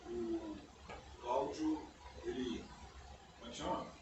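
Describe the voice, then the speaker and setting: An older man, a native English speaker, giving a relaxed conference lecture in a university auditorium, often checking in with his listeners. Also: fairly high pitch, measured pace, highs cut off. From United States. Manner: conversational, often ends phrases with "né?". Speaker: guest lecturer. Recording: echoey auditorium, distant mic, background noise.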